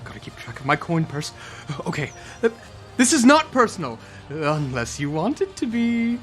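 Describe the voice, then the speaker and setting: Man as a lively, cocky, flirtatious swordsman. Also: a little high, normal speed, soft background music. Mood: flirty, teasing. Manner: teasing, nonchalant. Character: cocky, flirtatious swordsman, nonchalant male adventurer. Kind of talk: fantasy tabletop radio drama acting.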